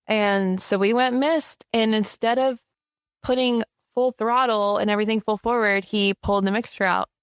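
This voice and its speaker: A woman co-hosting a podcast in casual chat, an outspoken flight instructor who talks with animated emphasis. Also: a little high, moderate pace, smooth, dry recording, clean studio sound.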